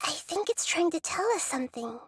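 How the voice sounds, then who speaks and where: A woman voicing a gentle, wise, young-looking girl goddess in a video game, speaking softly and thoughtfully. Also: high-pitched, lively intonation, brisk. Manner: soft, thoughtful and reassuring.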